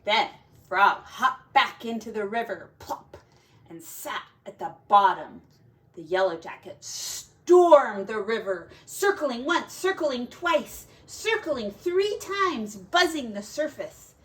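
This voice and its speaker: A woman reads a children's folk tale aloud with a fairly high voice. Her delivery is unhurried and dramatic, with big swings in pitch. She sounds like a teacher reading to young listeners. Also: animated. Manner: dramatic, deliberate, with quiet asides to young listeners.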